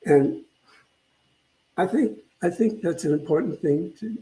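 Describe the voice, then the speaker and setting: An older man speaks in a soft-edged, mellow voice that sits a little high for a man. He gives an informal spiritual talk, pausing and repeating words as he reflects. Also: animated, slight background noise, low-quality recording. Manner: halting, reflective, repeats phrases. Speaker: minister.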